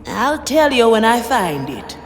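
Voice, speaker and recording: A woman's voice: on the high side, very animated, a little slow, a little hiss or hum. An actress playing a wry, daring woman pirate navigator with a cool, confident manner. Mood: curious, assured.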